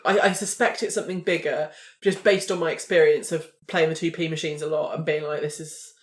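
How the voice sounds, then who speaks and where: A woman's voice: low-pitched, fairly even intonation, fairly quick. Woman chatting in an echoey room. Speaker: player. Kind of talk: casual tabletop game chat.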